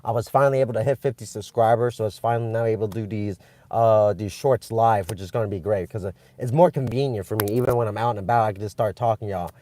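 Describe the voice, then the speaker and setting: A young man from Vietnam chatting with live-stream viewers, excitable and rambling, with many restarts and fillers. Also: fairly low pitch, fast talker. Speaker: channel host streaming live. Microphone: phone.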